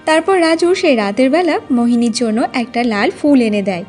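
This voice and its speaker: A woman's voice: on the high side, animated, brisk, a little mellow. A woman narrating a scripted cartoon folk story as the storyteller. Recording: music bed under the voice.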